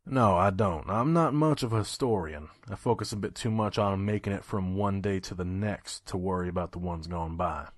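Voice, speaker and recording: Low-voiced, dry pony scavenger, acted by a man. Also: normal speed, few pauses, no room sound. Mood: dry, skeptical. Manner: dry, guarded, teasing. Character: gruff, shrewd male pony scavenger-peddler, wary and mercenary. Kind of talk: audio drama dialogue and narration.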